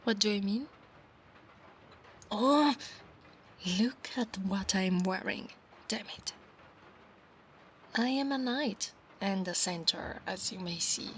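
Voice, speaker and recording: A haughty, insistent centaur warrior maiden, acted by a woman in an ASMR roleplay. She speaks formally and commandingly at an unhurried pace. There is noticeable noise in the recording. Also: medium pitch, fairly bright tone. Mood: commanding, exasperated. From Italy. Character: proud, insistent female centaur knight of a holy order.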